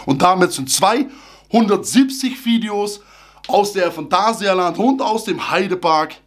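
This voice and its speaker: A man's voice: sits high, measured pace. Chatty man. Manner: chatty, animated, slightly broken grammar. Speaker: theme park YouTuber. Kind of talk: casual vlog talk to camera. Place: small room full of fan merchandise, indoors. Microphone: camera at close range.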